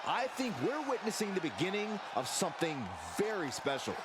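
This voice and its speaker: A man's voice: high voice, big pitch swings, moderate pace. A man doing wrestling color commentary with gushing, enthusiastic praise. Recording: game commentary over loud arena noise.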